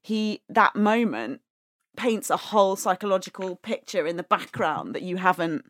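A woman's voice: mid-range voice, animated, moderate pace, a bit bright, no echo, clean studio sound. A woman guest on a podcast, chatting eagerly and reflectively about a film. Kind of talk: casual film chat.

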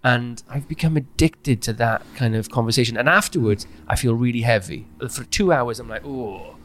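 A man's voice: mid-range voice, a little fast, fairly bright tone, a little hiss or hum. Man talking candidly. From Wales. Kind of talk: candid, personal conversation.

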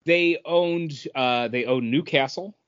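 Man chatting haltingly, dull lo-fi sound. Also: a little high, fairly bright tone, clear, resonant tone, no room sound. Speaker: podcast co-host. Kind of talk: casual chat explaining beer industry news.

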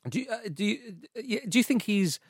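A man's voice: sits high, expressive, fast talker, breathy edge, no echo, clean studio sound. A man hosting a casual football chat in a teasing, playful way. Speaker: podcast host.

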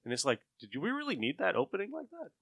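A man's voice: fairly high pitch, huge pitch swings, quick pace, creaky, fairly bright tone, no echo, clean studio sound. A man hosting a casual fan discussion, explaining things in an enthusiastic, conversational way. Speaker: podcast host. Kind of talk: casual discussion of a radio show episode.

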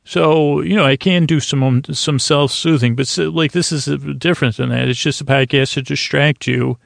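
A man with a creaky, gravelly voice hosting a sleep podcast, giving a halting, meandering, soothing bedtime monologue full of digressions. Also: a little high, dry recording, studio quality. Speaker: podcast host. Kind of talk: rambling bedtime monologue for falling asleep.

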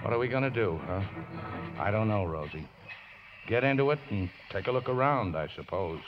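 A man acts a rough but devoted middle-aged riverboat man in an old radio play. His speech is folksy and ungrammatical, full of plucky heart. The recording is dull and dated, with prominent music behind it. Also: lowish voice, animated, moderate pace, natural voice. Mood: grave. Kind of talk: 1950s radio drama acting.

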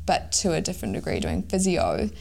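A woman's voice: deep voice, sing-song, brisk, gravelly, darkish tone. A woman hosting a podcast, chatting in an encouraging, friendly way with her guest. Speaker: podcast host. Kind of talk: casual podcast interview.

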